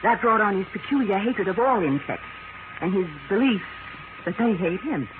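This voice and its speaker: An actress playing a proper, guarded wife in a 1940s radio drama, with a formal, earnest manner. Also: female voice, mid-range voice, expressive, normal speed, natural voice. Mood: earnest, protective. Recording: noisy, tinny 1940s radio recording.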